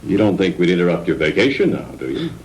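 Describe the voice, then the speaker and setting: An easygoing newspaper boss in an old 1960s film. His voice is very deep, with lively movement in pitch. The soundtrack has faint noise. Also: fast talker. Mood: easygoing, teasing. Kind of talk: 1960s B-movie acting.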